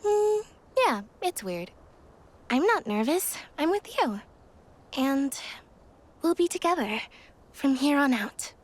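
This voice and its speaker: High, slow, tender young woman. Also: very animated, exaggerated voice. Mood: fond. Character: gentle, devoted young village woman, dreamy and tender. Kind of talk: fantasy video game voice acting.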